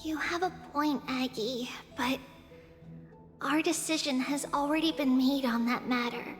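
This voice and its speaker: High-pitched, solemn little girl, slow-spoken. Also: steady tone. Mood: troubled. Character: small childlike girl, gentle, solemn, wise beyond her years. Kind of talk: video game voice acting. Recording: long reverb, faint music behind.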